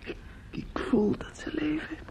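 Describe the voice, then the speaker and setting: A man's voice: unhurried, a little mellow, close to an everyday voice. An actor playing a grief-stricken, restless young man in a radio play, stammering and repeating himself, often dropping to a whisper. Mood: tearful, affectionate. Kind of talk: intimate radio play acting. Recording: muffled, noisy old radio drama recording.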